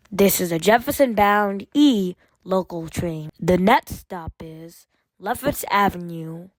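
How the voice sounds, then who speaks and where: A woman delivers scripted transit announcements in a slow, measured way. Her phrasing is formulaic and segmented, like an automated train announcer. The sound is dry and close, with a mid-range pitch. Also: dry recording, low-quality recording.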